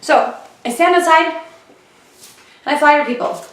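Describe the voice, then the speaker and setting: Hoarse woman vlogging in an echoey room. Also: high-pitched, a little slow. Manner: chatty and upbeat, with fillers. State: slightly hoarse, clearing throat often. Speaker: comedian vlogging her diary. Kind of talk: casual vlog monologue. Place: living room of a flat, indoors. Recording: echoey room, heard from a distance.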